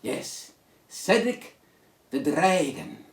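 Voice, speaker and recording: A man's voice: fairly high pitch, expressive, very slow. A man hosting a children's storytime show, playful and encouraging, with a singsong way of talking. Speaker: children's storytime host and singer. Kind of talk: children's show presenting and singing.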